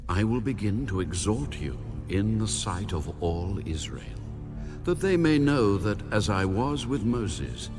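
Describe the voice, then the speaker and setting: A man's voice: fairly low pitch, big pitch swings, over music. A man playing God in a dramatized Bible, speaking with solemn, commanding majesty. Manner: solemn, weighty, measured. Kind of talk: dramatized audio Bible acting.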